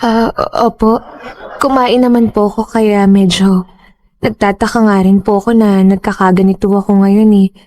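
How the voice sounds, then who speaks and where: Isang babaeng gumaganap bilang batang empleyada sa opisina, magalang at balisa, madamdamin ang pagbigkas sa dramang panradyo. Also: female voice, mid-range voice, steady tone, moderate pace, darkish tone, lightly acted. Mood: weak, polite. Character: young female office worker, polite, anxious and tearful. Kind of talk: Tagalog radio drama acting.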